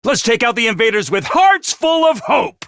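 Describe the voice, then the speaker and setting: An actor voicing a chipper, unhinged male academy announcer who gives bubbly announcements and bursts into gleeful shouting. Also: very high-pitched, very animated, normal speed, creaky, clear, resonant tone, no room sound, exaggerated voice. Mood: manic. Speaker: game character announcer. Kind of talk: video game voice lines.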